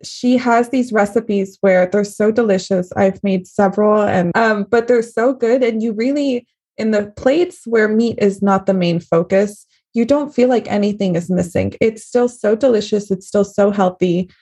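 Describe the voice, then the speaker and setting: A young woman hosts a podcast interview in a slightly husky, mid-pitched voice. She asks friendly, agreeable questions that are full of fillers. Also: moderate pace, warm tone. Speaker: podcast host. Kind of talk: conversational interview.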